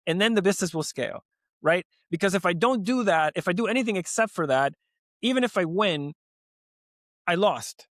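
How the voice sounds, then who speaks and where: A man in his forties from Venezuela, a guest on an interview podcast, talking in an animated, emphatic way with many restarts. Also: sits high, fairly quick, no echo, clean studio sound. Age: 40s. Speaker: guest, lending company founder.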